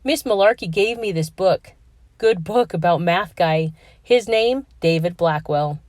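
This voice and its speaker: A woman voicing a schoolboy in a storybook read-aloud, speaking in simple, halting phrases. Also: fairly low pitch, a little mellow, no room sound, studio quality, lightly acted. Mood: proud. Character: schoolboy, proud of his book.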